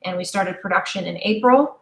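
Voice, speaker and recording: A middle-aged woman chatting casually as an interview guest, telling stories in an animated way and acting out bits of quoted dialogue. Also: medium pitch, brisk. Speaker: interview guest, audio drama creator. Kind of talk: casual conversational storytelling. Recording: roomy, echoing sound.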